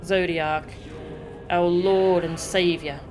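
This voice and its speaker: A game streamer voicing a solemn, mournful ancient survivor from a fantasy game, speaking gravely like an incantation. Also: medium pitch, fairly even intonation, takes it slowly, close to an everyday voice. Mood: reverent. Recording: game music behind the voice.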